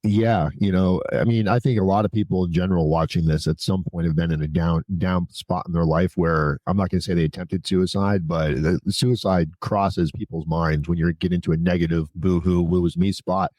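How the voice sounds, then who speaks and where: Deep-voiced man telling his story bluntly. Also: steady tone, a little fast, hardly any pauses, warm tone, dry recording. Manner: blunt, informal, swears casually. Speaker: podcast guest, prison consultant. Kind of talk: candid conversational storytelling.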